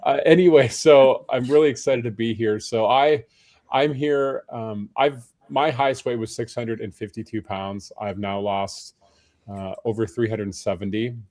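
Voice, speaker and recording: A tired, hoarse man speaking as a panel guest, chatting in a friendly, self-deprecating way with frequent restarts. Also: medium pitch, fairly even intonation, a little slow, darkish tone. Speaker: panel guest, personal trainer. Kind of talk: casual panel conversation.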